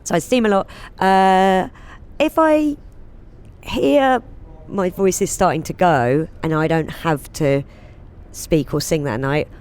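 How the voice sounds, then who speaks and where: Woman, low voice, chatty, laughs often, interview guest. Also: slow pace, darkish tone, clean tone. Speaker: guest, comedian and singer. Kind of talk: casual interview chat.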